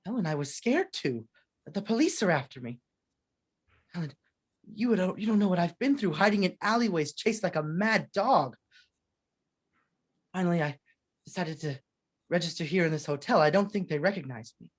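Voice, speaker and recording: A haunted man on the run, acted in a radio play, anguished and halting. The delivery is desperate 1940s melodrama from someone doubting his own sanity. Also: medium pitch, expressive, breathy edge. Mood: desperate, fearful.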